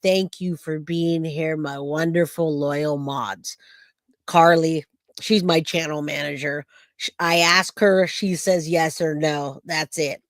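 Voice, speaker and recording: A man hosting a true crime livestream, hoarse and raspy from a cold, chatting casually and greeting viewers by name. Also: on the high side, steady tone, slow, a bit bright, no echo, clean studio sound.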